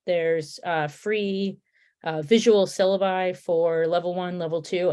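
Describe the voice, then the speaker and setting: A woman's voice: on the low side, darkish tone. A woman with a Connecticut accent, a guest chatting casually and rambling with restarts. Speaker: podcast guest, beer comic artist. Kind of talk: casual chat.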